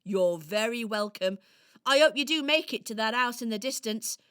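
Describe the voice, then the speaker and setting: A woman's voice: on the high side, animated, normal speed, fairly bright tone, clean tone. A woman playing a small, brave male robin who coaches with bouncy, emphatic encouragement in a children's radio play. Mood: warm farewell.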